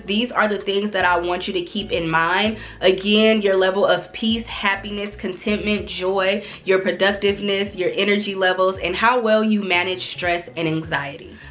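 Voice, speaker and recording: A woman talking to camera in a relaxed self-development vlog, her voice a bit nasal and congested from a cold, earnest and chatty with casual slang. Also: medium pitch. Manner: earnest, repeats phrases for emphasis. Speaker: vlogger.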